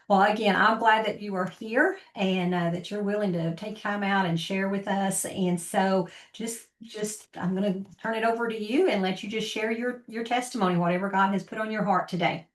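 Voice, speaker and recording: Encouraging woman host in a roomy space. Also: medium pitch. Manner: encouraging, affirming interjections. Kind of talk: podcast interview hosting. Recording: roomy echo.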